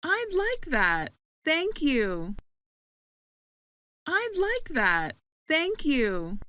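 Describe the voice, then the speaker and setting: A woman playing a friendly new student in a slow scripted dialogue for English learners, saying each line twice. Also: sits high, huge pitch swings, pushed and bright, full character voice.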